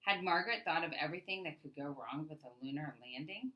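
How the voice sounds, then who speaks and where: A woman reading a children's picture book aloud as a storyteller, with expressive, emphatic delivery and deliberate phrasing. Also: sits low, highs cut off.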